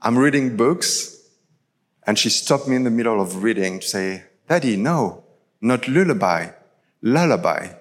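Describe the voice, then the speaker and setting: A man's voice: medium pitch, animated, unhurried. A man in his thirties, originally from France, with a French accent, preaching a chatty live sermon full of fillers. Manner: casual, chatty storytelling with many fillers. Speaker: pastor. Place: large church hall. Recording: live church recording with long hall reverb.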